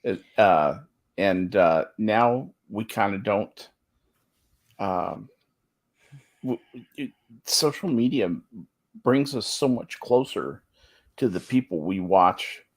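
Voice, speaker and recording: A man's voice: fairly low pitch, slow, pauses often. A man chatting casually as a talk show panelist, musing in a wry way. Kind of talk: casual chat.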